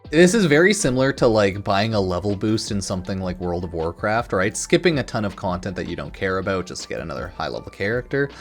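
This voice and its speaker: Male gaming creator, somewhat low pitch, casual opinionated commentary, slangy and rambling, faint music bed underneath. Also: very animated, fast, hardly any pauses. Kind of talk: casual off-the-cuff news commentary.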